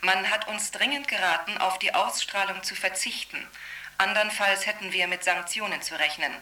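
A TV newsreader in a radio play, firm and formal. Her medium-pitched voice is extremely crisp and forceful, heard slightly at a distance through a broadcast. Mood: firm, defiant. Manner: formal, emphatic, measured. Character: firm, composed female TV news anchor. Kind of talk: news presentation in radio drama.

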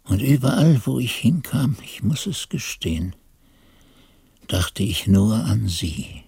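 An actor playing a monk who narrates his youth in a radio drama, in a literary, deliberate and confessional way. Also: fairly low pitch, sing-song, vocal fry, mellow, slightly rough, no room sound, studio quality, exaggerated voice. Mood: reflective, confessional. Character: monk recalling his youthful novice days, confessional, fervent. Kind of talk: scripted first-person narration in a radio drama.

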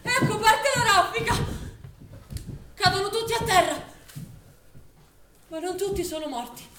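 An actress playing a grave narrator and witness of a wartime massacre, in a dramatic stage style. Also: female voice, very high-pitched, animated, measured pace, a bit bright, full character voice. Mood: grave, urgent. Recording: roomy stage sound, faint noise.

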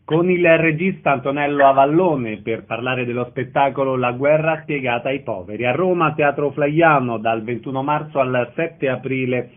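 A man's voice: medium pitch, normal speed, few pauses. A man working as a radio interviewer, asking questions and announcing event details. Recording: narrow band-limited radio sound.

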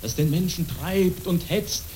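A man narrating a radio play as a solemn, measured storyteller. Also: high-pitched, a little mellow, a little hiss or hum. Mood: grave, intense. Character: solemn male storyteller narrating a monk's legend.

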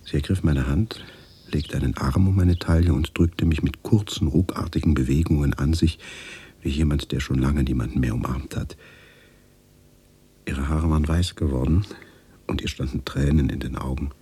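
An actor playing a haunted, brooding man who recalls a wartime childhood in a hushed, measured, introspective radio drama narration. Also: extremely low pitch, steady tone, husky, full character voice. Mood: reflective. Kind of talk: radio drama, reflective first-person narration with dialogue.

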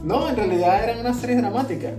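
A woman co-hosting a casual podcast, explaining things haltingly with repeated words. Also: on the high side. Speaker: podcast co-host. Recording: distant echoey mic, music behind the voice. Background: plucked guitar music.

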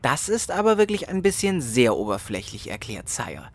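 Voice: mid-range voice, very animated, moderate pace. A performer playing a courteous, pedantic and devoted fantasy companion with a formal manner in a comedy audio drama. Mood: reproachful. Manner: formal, correcting, matter-of-fact.

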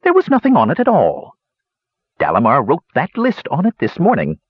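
A man's voice: a little high, wildly swooping pitch, normal speed, breathy edge, dry recording, muffled recording, pitched above the performer's own voice, heavily acted. A man voicing a crotchety old male dwarf hermit who talks stubbornly and curtly. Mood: insistent. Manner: stubborn, curt.

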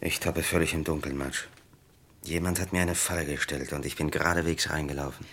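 Wrongly accused prisoner, deep gravelly voice, bitter, brooding, resigned, old radio play. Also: male voice, steady tone, brisk, fairly bright tone, full character voice. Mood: bitter despair. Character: despairing, bitter young man wrongly accused of murder. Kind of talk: vintage German radio crime drama acting.

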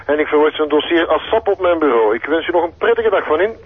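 An actor playing a curt, domineering, smug gendarmerie captain with a Flemish accent in a radio drama, heard through a telephone filter. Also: male voice, a little high, expressive, quick pace, slightly rough. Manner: curt, officious. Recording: tinny phone line.

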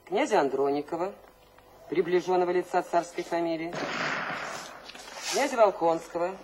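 An actress playing a brisk, formal revolutionary secretary reading out official statements in a radio drama. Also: female voice, fairly low pitch, background noise, close to an everyday voice. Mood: official, stern.

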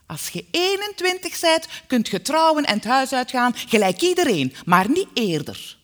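A woman's voice: sits high, big pitch swings, unhurried, a bit bright, voice pushed up, exaggerated voice. A woman voicing a domineering, scheming Flemish mother who commands her household in a firm, biting manner. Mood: commanding, scolding.

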